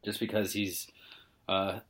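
A young American man co-hosting a casual sports podcast, speaking in a laid-back, fairly monotone way. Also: low voice, takes it slowly, breathy edge. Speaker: podcast co-host.

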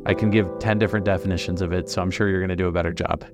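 A man's voice: deep voice, fairly even intonation, racing, faint music behind. A man hosting a podcast, delivering introductions and questions to a guest. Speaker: podcast host. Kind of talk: scripted show introduction and interview questions.

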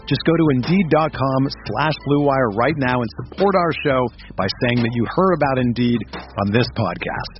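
A man's voice: mid-range voice, animated, normal speed, highs cut off, faint music behind. A man reading a scripted commercial in an upbeat, polished manner. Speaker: ad announcer.

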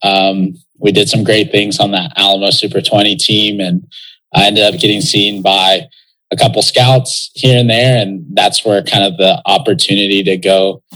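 A man's voice: lowish voice, steady tone, moderate pace, sharp tone, poor audio. A young man from Texas, a pro soccer player talking as an interview guest in a loose, reflective way, with lots of fillers. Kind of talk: casual interview talk.

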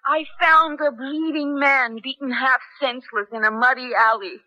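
A woman's voice: high-pitched, fairly even intonation, normal speed, pushed and bright, no echo, dull audio. An actress playing a frightened but defiant young American woman held prisoner in a 1970s radio drama, pleading and indignant. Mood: anguished pleading.

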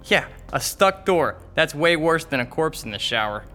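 A flippant young boyfriend in a horror radio drama, grumbling and wisecracking. His voice is bright and forceful, with some music underneath. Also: on the high side, animated, moderate pace. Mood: baffled irritation. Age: young adult. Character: flippant, irritable young boyfriend trapped in an apartment. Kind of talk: audio horror drama acting.

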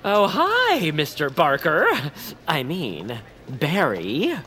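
A woman playing an eager, optimistic young creature with an earnest, excitable manner in a family audio drama. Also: sits low, takes it slowly, slight background noise, full character voice. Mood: reassuring. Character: Noah, eager optimistic young peepkin dreamer. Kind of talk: audio fiction acting for families.

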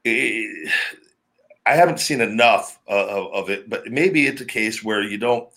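A man with a deep, heavy, booming voice, hosting a podcast in a loose, rambling, talkative way. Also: measured pace, fairly bright tone. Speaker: podcast host, former pro wrestler. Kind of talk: casual podcast chat.

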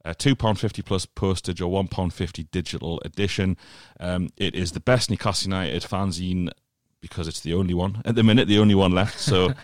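A Northern English podcast host with a very deep voice, breezy and a little jokey as he runs the chat. The recording is dry and close. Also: a little fast, few pauses, no echo.

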